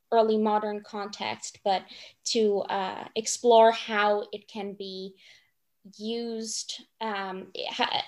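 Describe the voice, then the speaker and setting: A young woman speaking in a thoughtful academic discussion, choosing formal words slowly and hesitantly. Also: medium pitch. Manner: hesitant, measured, formal phrasing. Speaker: director on a production panel.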